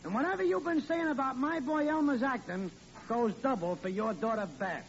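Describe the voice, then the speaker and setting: An actor playing a smug, needling middle-aged neighbor in a 1940s radio sitcom, heard on an old broadcast. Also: male voice, very high voice, normal speed. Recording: dull old radio broadcast with faint hiss.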